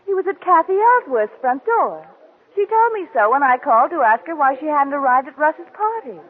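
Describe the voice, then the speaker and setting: An actress playing a concerned, trusting wife in a 1940s radio mystery drama. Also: female voice, high-pitched, animated, moderate pace. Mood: innocent, assured. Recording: noisy, tinny 1940s radio recording.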